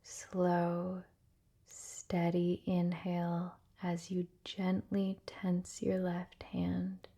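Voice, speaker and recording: Soft, very slow, breathy woman guiding meditation. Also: on the low side, completely flat delivery. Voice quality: soft, breathy, often near-whispered. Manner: gentle, soothing, unhurried, with long pauses. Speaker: meditation guide. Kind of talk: guided relaxation meditation, soft ASMR-like delivery.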